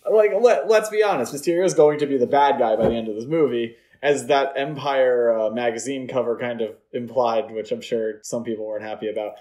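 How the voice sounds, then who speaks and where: A man's voice: medium pitch, a little fast, hardly any pauses, mellow. A man hosting a podcast, chatting casually about films in a rambling, opinionated way. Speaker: podcast host. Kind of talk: casual film discussion chat.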